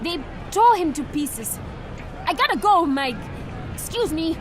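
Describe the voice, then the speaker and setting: A woman's voice: extremely high pitch, very animated, unhurried, a crowd in the background, full character voice. A woman playing a strong-willed, grieving young woman, emotional and defiant, in a dramatic video game audio drama. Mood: urgent, defiant. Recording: music behind the voice.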